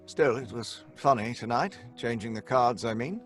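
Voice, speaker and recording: An actor playing a tentative, impressionable seance guest in an old-fashioned radio drama. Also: male voice, medium pitch, moderate pace, soft background music, close to an everyday voice. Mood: uneasy, intrigued.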